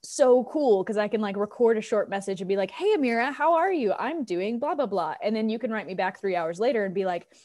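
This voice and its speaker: An American woman in her thirties talking casually and informally as an interview guest, chatty and animated, on a video call. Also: medium pitch, fairly quick, hardly any pauses. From United States, Colorado. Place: living room on a video call. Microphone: wired earbuds on a video call.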